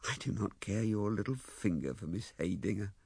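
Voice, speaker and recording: A man's voice: on the low side, lively intonation, moderate pace, vocal fry, darkish tone, slightly rough, dry recording, clean studio sound, heavily acted. A man playing an earnest, remorseful young husband in an audiobook, speaking with tender emotion. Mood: tender, self-reproachful. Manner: impassioned, tender. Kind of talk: audiobook character acting.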